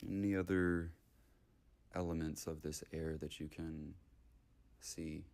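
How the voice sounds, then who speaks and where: A man leading a guided meditation in short, unhurried, soothing phrases with long gaps between them. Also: extremely low pitch, steady tone, gravelly, darkish tone.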